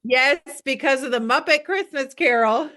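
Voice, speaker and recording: A woman's voice: sits high, normal speed, bright, forceful tone, faint music behind. A woman interviewing a guest in an informal, loosely phrased conversational way.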